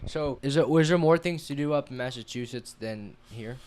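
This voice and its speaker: Young american guy, like 18, podcast host, clear smooth voice, slangy and sweary. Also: medium pitch, normal speed, darkish tone. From Texas, United States. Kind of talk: casual chat interview.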